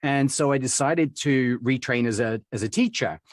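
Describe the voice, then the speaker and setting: A middle-aged Englishman chats through his story as an interview guest. His voice sits at an everyday middle pitch and is recorded close and dry, with no room around it. He rambles good-naturedly, full of fillers and quick chuckles. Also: dry recording. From England. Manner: chatty and rambling, with frequent fillers and small laughs. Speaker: accent and dialect coach, guest.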